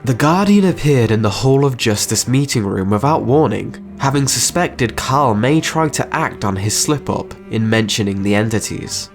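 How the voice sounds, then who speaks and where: A man's voice: mid-range voice, animated, normal speed, few pauses, soft background music. A man reading scripted, dramatic narration for a story.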